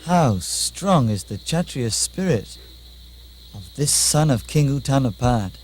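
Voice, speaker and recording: A man's voice: medium pitch, sing-song, slow, a little mellow, a little hiss or hum. A man playing a kindly, pious wandering sage in a puppet show, with a theatrical delivery. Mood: kindly, musing.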